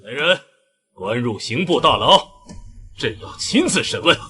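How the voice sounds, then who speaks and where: An actor playing a stern, coldly mocking emperor in a costume drama. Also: male voice, medium pitch, sing-song, unhurried, bright, forceful tone, husky, full character voice. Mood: stern, commanding.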